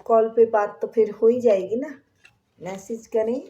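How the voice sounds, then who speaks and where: A woman with a sore throat giving a tarot reading to her viewers, speaking softly and slipping into whispered prayers. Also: a little high, highs cut off. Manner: slow, soft, drops to whispers in prayer. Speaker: tarot reader.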